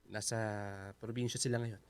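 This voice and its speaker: A man acting as a polite, secretive houseguest with a Filipino accent, speaking haltingly in a radio drama. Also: mid-range voice, little pitch movement, natural voice. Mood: evasive, reluctant. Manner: hesitant, halting, humble.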